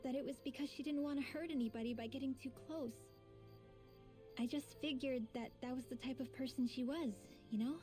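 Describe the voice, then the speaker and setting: Casting: woman as earnest teen girl for anime game, high voice, sensitive, emotional, light music underneath. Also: steady tone, fairly quick, mellow, natural voice. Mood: regretful. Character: sensitive, self-reproaching teenage girl. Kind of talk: anime video game voice acting.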